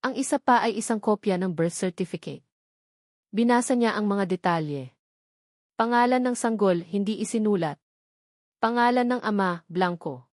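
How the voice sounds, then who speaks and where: A woman narrator reading a scripted romantic drama in a measured, melodramatic storytelling manner. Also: medium pitch, darkish tone, dry recording, clean studio sound.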